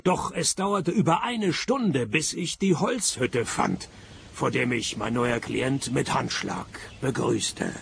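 An actor playing a world-weary, sarcastic private detective who narrates and talks in a dry, deadpan way in a radio play. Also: male voice, medium pitch, takes it slowly, gravelly, a little hiss or hum. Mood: dry, weary narration. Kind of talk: hard-boiled detective radio play, first-person narration and dialogue.

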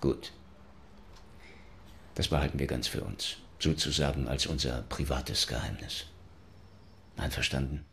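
An actor playing a tough, controlled police detective in a crime radio drama, questioning in a measured, insistent way. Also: male voice, extremely low pitch, fairly even intonation, vocal fry, background noise, exaggerated voice. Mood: quiet, conspiratorial.

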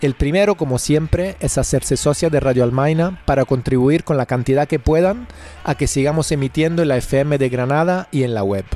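A man's voice: fairly high pitch, warm tone. A man hosting a radio programme, reading scripted introductions and announcements. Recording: music bed behind.

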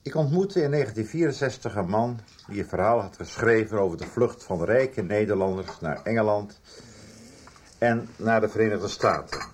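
An actor playing an older book publisher who haltingly and deliberately recounts a guilty past in a radio drama. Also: male voice, fairly low pitch, expressive, darkish tone. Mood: reflective, confessional. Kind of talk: radio drama dialogue, reminiscing.

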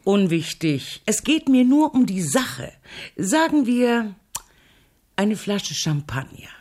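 An actress playing a headstrong, sardonic middle-aged crime novelist in a radio play, assertive, dry and teasing. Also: female voice, mid-range voice, sing-song, takes it slowly, darkish tone. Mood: breezy, scheming. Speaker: radio drama actor. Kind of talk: German radio play dialogue.

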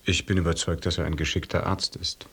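An actor playing a correct, reserved lieutenant in a German radio drama, talking in a formal, measured way with a husky voice. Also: male voice, deep voice, flat delivery, a bit bright, full character voice. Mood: reserved, sober.

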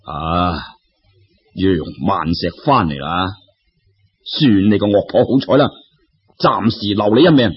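Menacing underworld giant, acted by a male storyteller, low-pitched, slow, husky, Cantonese, cruel judge in a folk tale. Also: lively intonation, highs cut off. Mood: grudging threat. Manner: slow, threatening, accusatory.

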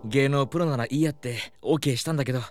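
A man's voice: medium pitch, over loud music, lightly acted. A man playing a hapless, over-eager aspiring singer in a comedic audio drama, earnest and easily flustered. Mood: rueful. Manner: excitable, earnest, quick to fluster.

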